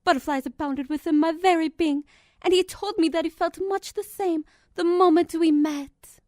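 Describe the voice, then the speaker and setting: A woman plays a theatrical, refined lady pony in an audio storybook. Her voice is extremely high and closely recorded without echo. Her manner is dainty, ornate and romantic. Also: steady tone, moderate pace, a little mellow, clean studio sound. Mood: swooning. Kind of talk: dramatised fan fiction reading.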